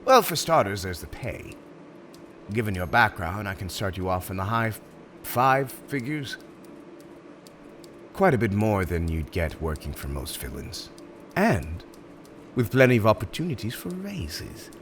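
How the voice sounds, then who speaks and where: Man playing a smooth-talking, theatrical low-voiced supervillain. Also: very animated, measured pace, long pauses, fairly bright tone, slight background noise. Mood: smug, businesslike. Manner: theatrical, courteous, self-satisfied, emphatic. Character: urbane, smug male supervillain interviewing a prospective minion. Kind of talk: ASMR roleplay monologue.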